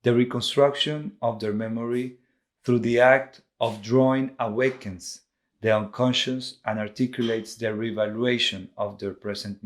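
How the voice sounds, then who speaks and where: A man with a Colombian accent, a native Spanish speaker, giving a formal, measured scripted narration as an artist presenting projects. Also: medium pitch. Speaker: visual artist.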